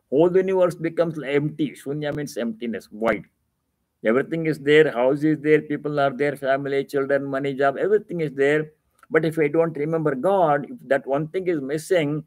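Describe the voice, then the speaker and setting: A man's voice: medium pitch, no echo. Indian-accented man giving an emphatic spiritual discourse. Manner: emphatic, repeats key phrases, with deliberate pauses; explains Sanskrit terms didactically. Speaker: swami giving a religious discourse. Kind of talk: scripture reading with devotional commentary.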